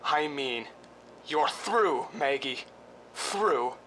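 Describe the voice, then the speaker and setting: Casting: actor as casual, clipped male accomplice with quiet menace, bright forceful tone, radio drama, slight noise. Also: mid-range voice, lively intonation, extremely slow, low-quality recording. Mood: cold.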